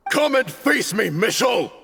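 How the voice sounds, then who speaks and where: An actor playing a proud, defiant military commander in a video game, speaking in a grandiose, deliberate, theatrical way. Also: male voice, sits high, very animated, gravelly, fairly bright tone, long reverb tail, a little hiss or hum, heavily acted. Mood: defiant rallying. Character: proud, defiant male commander making a last stand.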